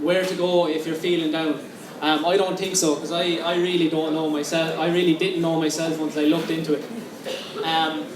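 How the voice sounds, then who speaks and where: Young man, student candidate, earnest and hesitant; slightly high voice, distant mic in a roomy hall with crowd. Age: young adult. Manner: earnest, many ums and uhs. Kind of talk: campaign speech. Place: lecture room with an audience. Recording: echoey room, mic at a distance, crowd noise.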